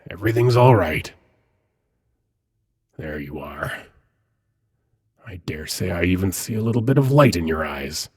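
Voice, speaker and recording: The deep, very husky murmur one would hear late at night in a fantasy ASMR roleplay: a silky demon prince, acted by a man, teasing his captive with soft menace. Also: lively intonation, a little slow, long pauses, exaggerated voice. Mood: tender, hushed. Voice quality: husky, breathy, often near whisper. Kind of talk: intimate ASMR roleplay monologue.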